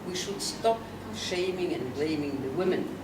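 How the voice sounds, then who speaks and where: Low-voiced swiss woman making her case earnestly, far off in an echoey room, loud noise behind. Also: measured pace. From Switzerland. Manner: earnest, emphatic, argues point by point. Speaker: interviewee. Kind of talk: roundtable discussion.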